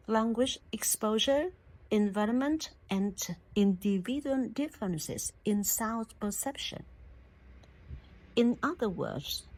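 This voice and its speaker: A woman giving a prepared English lesson, speaking in a slow, deliberate and measured way. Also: a little high, a little mellow, dry recording, rough recording. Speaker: English teacher.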